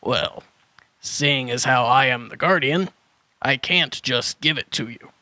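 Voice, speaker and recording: A man's voice: medium pitch, measured pace, pushed and bright, dry recording, low-quality recording. A man playing a crazy old hermit dinosaur trader in a children's audio drama, flustered and tangling his sentences. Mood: quirky, chatty. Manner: muddles and swaps word order, then corrects himself.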